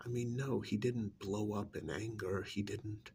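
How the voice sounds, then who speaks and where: A man playing a stern, secretive government handler in an ASMR role-play, speaking softly in a pointed, reprimanding, deliberate way. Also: fairly low pitch, steady tone, a little hiss or hum. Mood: stern, scolding. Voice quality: breathy near-whisper with gravelly creak. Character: stern, secretive male government handler scolding an undercover agent.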